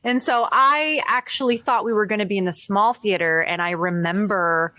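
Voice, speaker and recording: A young woman from the Philippines, a filmmaker guest chatting in an interview, lively and laughing, with many fillers and restarts. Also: mid-range voice, moderate pace, no room sound. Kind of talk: casual interview chat.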